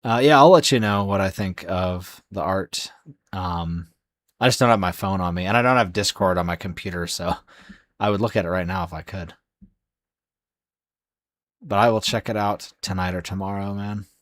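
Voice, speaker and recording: Sleepy, hoarse low-voiced man streaming. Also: moderate pace, pauses often, fairly bright tone, dry recording, studio quality. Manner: rambling, many fillers and restarts. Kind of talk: casual live-stream chat.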